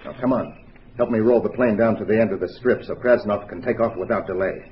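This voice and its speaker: A man's voice: fairly low pitch, fast talker, loud music behind the voice, natural voice. An actor playing an impatient, bossy spy henchman in a 1950s radio drama, curt and commanding. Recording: muffled old radio recording, loud noise.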